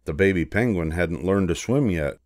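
An older man reading a children's storybook aloud as narrator, in a measured, expressive way. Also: low-pitched, dry recording, clean studio sound.

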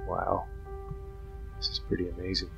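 A hoarse man hosting a casual gaming commentary, rambling with many ums. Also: low-pitched, monotone, normal speed, music in the background. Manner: rambling, self-interrupting, full of ums. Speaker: gaming channel host. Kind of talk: casual let's play commentary.

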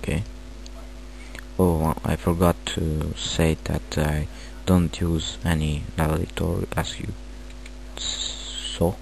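Slow, gravelly Romanian-accented man. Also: rumbling low, little pitch movement, darkish tone, slight background noise, poor audio. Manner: hesitant, halting, filler-heavy. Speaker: tutorial maker. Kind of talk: screen-recorded software tutorial.

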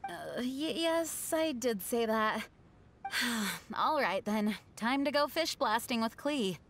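Earnest, composed knight leader. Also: female voice, fairly high pitch, animated, fairly bright tone, slight background noise, heavily acted. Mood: hesitant, kind. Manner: formal, measured. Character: earnest, composed young woman knight leader, kind and dutiful. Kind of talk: video game voice acting.

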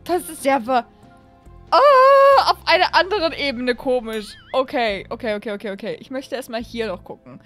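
A young woman commentates a game she is playing in a high, very lively voice. She gushes, exclaims and repeats her phrases, dropping in English catchphrases. She speaks close to a desk mic, with faint music behind her. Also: moderate pace. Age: young adult. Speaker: YouTube gaming host. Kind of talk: excited play-along game commentary. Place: small room at home, indoors. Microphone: desk microphone up close.

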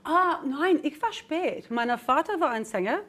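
Texan woman speaking halting, slow German. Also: high-pitched, animated, a bit bright. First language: English. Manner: laughs often, searches for words. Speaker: singer, talk show guest. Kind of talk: casual chat in halting German. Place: TV studio.

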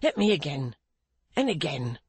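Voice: mid-range voice, big pitch swings, moderate pace, husky, dry recording. A reader voicing a frantic, remorseful man in an audiobook, exclaiming and pleading deferentially as he punishes himself. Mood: desperate pleading. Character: frantic remorseful man, agitated, self-punishing, begging for reproach. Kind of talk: audiobook character acting.

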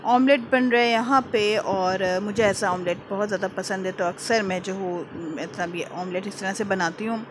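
A woman's voice: mid-range voice, steady tone, moderate pace, few pauses, background noise. A woman with an Urdu-speaking Pakistani accent, hosting a casual home vlog and chatting to her viewers while cooking. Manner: chatty and warm, filler-heavy "jī". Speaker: vlogger, channel host. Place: home kitchen and living room, indoors.

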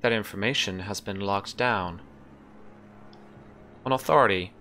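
A man voicing an impersonal, unhelpful ship's computer in a tabletop game, with measured, formal, halting delivery. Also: lowish voice, bright, forceful tone, a little hiss or hum. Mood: impersonal, withholding. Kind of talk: tabletop game role-play.

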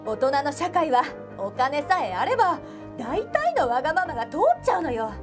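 A woman playing a cool, scheming high school club president in an anime radio drama, matter-of-fact and teasing. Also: sing-song, normal speed, music in the background. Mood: cool, smug.

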